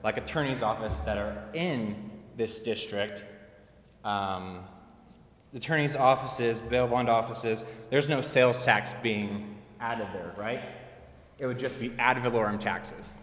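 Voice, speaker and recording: A man's voice: medium pitch, measured pace, fairly bright tone. A man speaking as a citizen at a council meeting, methodically putting questions in a public comment. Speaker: citizen questioner, trained in law. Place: indoor council chamber. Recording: echoey reverberant meeting hall.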